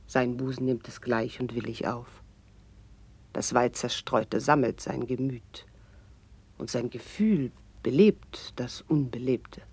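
A woman's voice: extremely low pitch, slightly rough, no room sound, exaggerated voice. An actress playing a graceful, witty noblewoman and confidante, declaiming formal verse lyrically in a classical radio drama.

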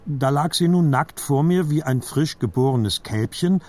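A man reading a literary story as a scripted audiobook narration, with a husky voice. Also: a little high, lively intonation, a little slow, darkish tone.

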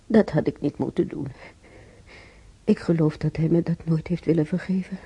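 An actress playing a regretful old mother in a radio drama, halting and brooding, with a husky, creaky voice. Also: low voice, fairly even intonation, dark tone, no echo, exaggerated voice. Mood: wistful, brooding. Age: older. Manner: halting, brooding, repeating herself. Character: regretful old mother remembering beating her stubborn son.

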